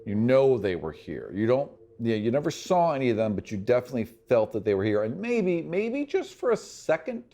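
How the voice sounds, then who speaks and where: A man's voice: medium pitch, very animated, a little fast, hardly any pauses, dark tone. Male game master, mid-range voice, improvised and conversational fantasy narration with a descriptive touch. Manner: conversational, descriptive, thinking aloud.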